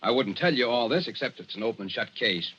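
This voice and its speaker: A man's voice: mid-range voice, lively intonation, fast talker, fairly bright tone, close to an everyday voice. A man acting a folksy, sardonic police officer in a 1940s radio drama. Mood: affable. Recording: dull, noisy vintage 1940s radio recording.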